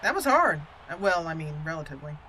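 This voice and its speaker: A woman hosting a roleplay game commentary, excitable and exclaiming, reading some lines in character. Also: sits low, fast talker, slight background noise. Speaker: gaming channel host.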